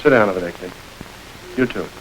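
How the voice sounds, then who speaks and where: An actor playing a smooth, calculating gang boss in a 1930s crime film, calm and commanding. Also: male voice, low-pitched, a little fast, slight background noise, close to an everyday voice, rough recording. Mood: calm authority. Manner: cool, controlled, quietly commanding. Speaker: gang chief.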